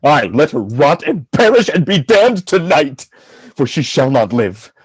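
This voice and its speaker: Give this jealous general a husky voice and a slow, dramatic delivery from a male actor. The pitch swings widely between brooding and anguished outcry, as in a Shakespearean radio play, recorded close and dry. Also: sing-song, dry recording, heavily acted. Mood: bitter fury. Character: tormented jealous husband, a military general.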